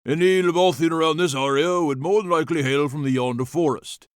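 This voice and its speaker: A man acts out a scholarly old gentleman who is an enchanted signpost in a storybook. His voice sits slightly high in a dry recording, and he chats in a folksy, jokey, courtly way, like a proud salesman. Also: a little fast, clear, resonant tone, dry recording, close to an everyday voice. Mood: helpful, folksy. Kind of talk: audiobook character voice.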